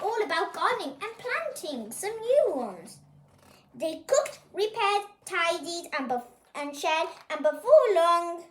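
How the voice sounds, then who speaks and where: A storyteller reading a picture book aloud for children, expressive and pointing things out to young listeners. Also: extremely high pitch, reverberant. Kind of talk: animated picture-book read-aloud for kids.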